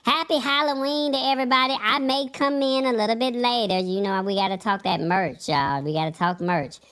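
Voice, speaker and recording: A woman's voice: fairly high pitch, brisk, fairly bright tone, clean tone. A woman chatting casually with viewers in an informal, folksy, familiar manner. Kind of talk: casual chat, signing off to viewers.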